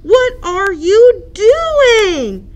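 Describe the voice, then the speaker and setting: A woman's voice: extremely high pitch, sing-song, extremely slow, lo-fi sound, pitched above the performer's own voice, exaggerated voice. A woman voicing a fussy, polite, earnest male hippo in a children's storybook, given to exclaiming. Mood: alarmed. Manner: exclamatory, huffy, courteous. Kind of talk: children's storybook read-aloud.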